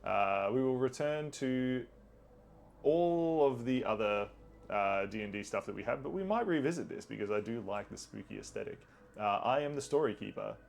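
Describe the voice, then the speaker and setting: A man's voice: expressive, moderate pace, a little hiss or hum. A man hosting a tabletop game as game master, chatting casually with a playfully theatrical manner. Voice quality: deep, gravelly.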